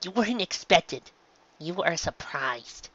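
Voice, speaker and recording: A woman's voice: on the low side, animated, takes it slowly, fairly bright tone, dull audio, voice pushed up, full character voice. A woman voicing a loving, protective pegasus mother in a story, speaking in a tender but firm maternal way. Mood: fierce, tender.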